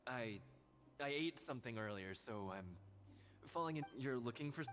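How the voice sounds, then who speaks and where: An actor playing a nervous, evasive society member in a video game, hesitant and stammering. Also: male voice, mid-range voice, lively intonation, moderate pace, close to an everyday voice. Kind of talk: video game character acting.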